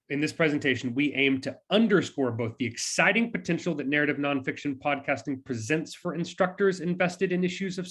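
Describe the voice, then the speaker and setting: Husky-edged man reading a scripted presentation. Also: medium pitch, a bit bright. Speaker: university lecturer, co-presenter.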